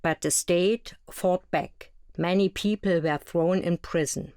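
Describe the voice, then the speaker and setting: East German woman looking back, lowish voice, reflective first-person narration for a motion comic. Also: steady tone, slow, a little mellow, clear, resonant tone, dry recording, studio quality.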